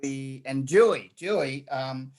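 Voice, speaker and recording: A man's voice: medium pitch, a little slow, a little mellow. A man from Australia, a guest in a casual online interview, talking in a rambling way with many fillers and repeated words.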